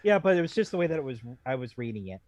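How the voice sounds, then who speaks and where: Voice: medium pitch, very animated, rapid-fire, darkish tone, muffled recording. A book club co-host chatting casually and making jokes. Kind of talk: casual joking chat.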